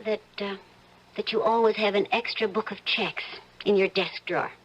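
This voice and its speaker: An actress playing a troubled, gently stubborn and suspicious wife in an old-time radio drama, hesitant and searching. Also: female voice, mid-range voice, steady tone, moderate pace, husky, dull audio, natural voice. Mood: withdrawn, suspicious.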